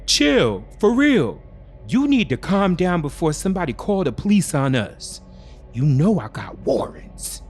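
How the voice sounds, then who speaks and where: Man plays gaslighting boyfriend in thriller audio story: raised, loud delivery, smug, impatient, slangy. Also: fairly high pitch, very animated, a little slow, faint music behind, voice pushed up. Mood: dismissive, agitated. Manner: sharp, dismissive, slangy.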